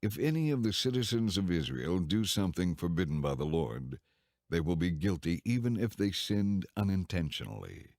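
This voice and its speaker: A man narrating scripture from a script in a solemn, measured, formal way. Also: low-pitched, very animated, darkish tone, dry recording, clean studio sound. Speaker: audiobook narrator.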